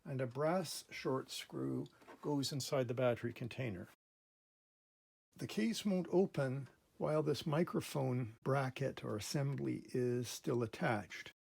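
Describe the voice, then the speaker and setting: Male repair-channel host, creaky and slightly raspy, mid-pitched, methodical and casual in his step-by-step explaining. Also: a little mellow. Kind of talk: casual hands-on repair demonstration. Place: indoor workbench in a workshop.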